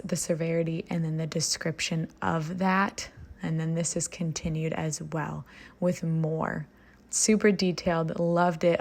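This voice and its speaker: Husky-voiced Midwestern American woman. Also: on the low side, darkish tone. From Michigan, USA. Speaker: product reviewer. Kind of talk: casual review talk to viewers.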